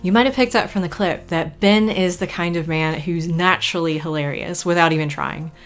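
A woman's voice: fairly low pitch, normal speed, faint music behind. A woman hosting a book preview channel, reading a scripted voice-over.

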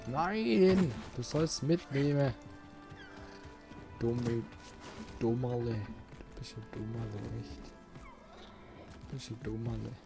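Unhurried male let's player over game music. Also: medium pitch. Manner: musing aloud, addressing viewers. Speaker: let's play host. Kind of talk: casual gameplay commentary.

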